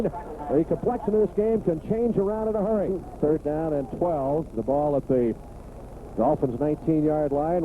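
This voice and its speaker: High-pitched radio football announcer, tinny and noisy. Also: male voice. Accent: American. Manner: clipped phrases building to excited calls. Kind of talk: live American football play-by-play commentary.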